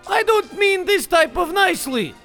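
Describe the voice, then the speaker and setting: A man voicing a stubborn hotel clerk who speaks broken English, flat and deadpan. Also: very high-pitched, normal speed, creaky, soft background music, exaggerated voice. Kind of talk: comedy audio sketch.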